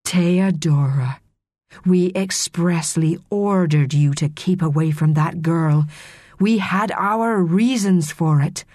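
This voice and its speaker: A woman voicing a stern mermaid leader in a storybook, speaking with quiet menace in formal, old-fashioned phrases. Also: lowish voice, normal speed, breathy edge, dry recording. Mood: heavily disappointed.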